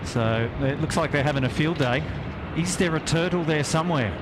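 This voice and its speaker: Australian man live-streaming over loud airfield noise. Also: fairly high pitch, fairly quick. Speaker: live stream host, plane spotter. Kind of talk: casual live commentary. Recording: loud outdoor airfield ambience behind voice.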